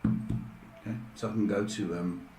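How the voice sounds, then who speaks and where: A man's voice: fairly low pitch, steady tone, measured pace, mellow, faint music behind. A middle-aged man chatting casually and jokily as a rambling live stream host. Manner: rambling, joking. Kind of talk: casual chat. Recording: echoey room, faint background noise.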